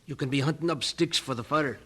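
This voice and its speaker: A man's voice: fairly high pitch, a little fast, bright, forceful tone, husky. A man playing an easygoing father in a domestic audio drama scene. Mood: contented. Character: easygoing Scottish father at home.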